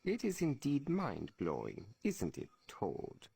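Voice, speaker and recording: A man's voice: mid-range voice, huge pitch swings, takes it slowly, vocal fry, mellow, slightly rough, exaggerated voice. A man playing an earnest, wry mutant with a German accent in a video game. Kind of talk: video game cutscene acting.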